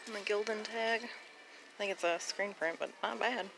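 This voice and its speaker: A woman's voice: mid-range voice, moderate pace, gravelly, over music, rough recording. A woman chatting casually and informally as a reseller vlogger, with mild slang.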